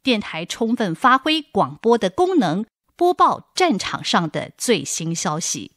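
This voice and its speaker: A woman's voice: mid-range voice, sing-song, unhurried, fairly bright tone, dry recording, studio quality. A woman narrating a historical radio drama from a script.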